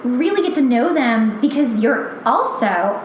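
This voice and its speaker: A woman's voice: fairly high pitch, sing-song, a little slow, slight background noise. A young adult woman hosting a podcast, chatting casually in an emphatic, opinionated way with lots of "like". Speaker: podcast host. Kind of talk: casual chat. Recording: very echoey room.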